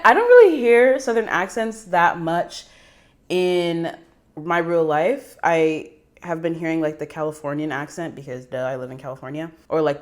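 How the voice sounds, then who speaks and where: Young American woman chatting, slightly low voice. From New York City, United States. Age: young adult. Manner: rambling, chatty, slangy. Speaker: vlogger. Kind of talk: casual personal storytelling.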